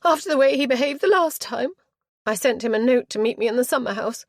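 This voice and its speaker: A spoiled young heiress of the Regency era, high and sulky, her voice leaping up and down as she complains, as a woman reading a novel aloud puts her on. The storybook reading is close and dry, with no room sound behind it. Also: lively intonation, quick pace, dry recording. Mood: petulant. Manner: sulky, high-strung, rising to tearful. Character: petulant, self-centred young Regency heiress.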